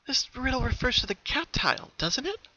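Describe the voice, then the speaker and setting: Young female pony lead, done by a man: raised pitch, earnest, anxious yet determined, horror storybook tone. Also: sing-song, fairly quick, sharp tone, no echo, muffled recording, full character voice. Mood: nervous resolve. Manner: self-reassuring, earnest.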